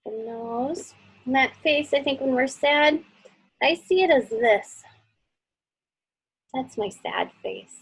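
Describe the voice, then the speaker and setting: Woman, children's teacher. High, husky voice, chatty drawing instruction with frequent check-in questions, close dry sound. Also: big pitch swings, slow pace, pauses often, no room sound, poor audio.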